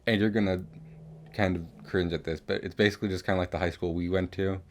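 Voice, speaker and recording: Casting: young male podcast host with a low voice, chatty and rambling, loose with swearing. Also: steady tone, fast talker. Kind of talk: casual chatty recap of an animated series.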